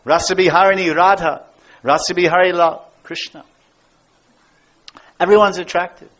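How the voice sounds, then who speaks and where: A man's voice: high voice, fairly even intonation, moderate pace, fairly bright tone. An American man giving a devotional lecture as a spiritual teacher, speaking emphatically and repeating key words for stress. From United States. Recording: dull lo-fi lecture recording.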